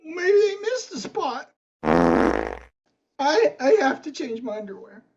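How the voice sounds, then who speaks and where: A woman's voice: high voice, sing-song, slow, darkish tone, muffled recording, exaggerated voice. A woman playing a meek, eager, tipsy male servant in an audio play, hesitant and deferential. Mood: anxious.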